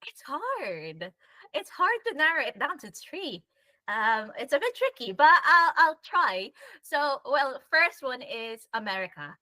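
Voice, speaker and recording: Bright-voiced young woman, chatty. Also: high-pitched, sing-song, unhurried, no room sound. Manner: chatty, laughs often, says "like" a lot. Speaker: panel guest. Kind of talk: casual chat.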